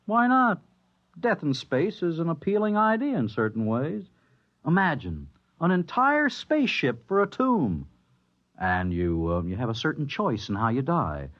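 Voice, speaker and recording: A cynical spaceship crewman, morbid and needling, highish voice all over the place, dull old radio sound. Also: wildly swooping pitch, a little slow. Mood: morbidly needling. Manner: dry, needling, theatrical. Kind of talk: 1950s radio drama acting.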